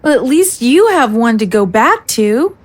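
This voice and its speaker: A woman's voice: fairly high pitch, big pitch swings, unhurried, dry recording. An actress playing an earnest, guilt-ridden young female fugitive in an audio drama, speaking hesitantly. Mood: guilty, downcast.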